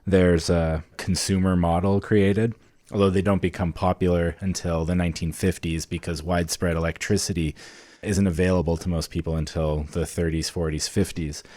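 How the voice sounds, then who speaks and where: A man's voice: low-pitched, level tone, normal speed, mellow, no room sound. A man co-hosting a podcast, explaining history in a casual, conversational and enthusiastic way. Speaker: podcast co-host.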